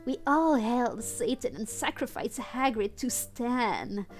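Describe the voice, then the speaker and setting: A woman's voice: a little high, expressive, measured pace, faint music behind. A woman livestreaming a read-aloud of fan fiction on a headset, playful and mock-dramatic, with amused asides. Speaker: streamer and host.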